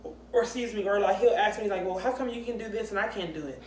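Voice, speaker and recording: A woman in her thirties co-hosting a candid casual chat, talking in an emphatic, conversational way. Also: medium pitch. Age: 30s. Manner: emphatic, conversational, quick back-and-forth. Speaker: co-host. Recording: echoey room with faint ambient noise.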